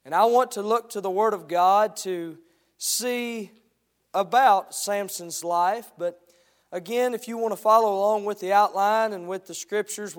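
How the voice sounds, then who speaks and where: Hoarse high-voiced preacher man. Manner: halting opening, then rising preaching cadence. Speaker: guest preacher. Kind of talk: sermon with scripture reading.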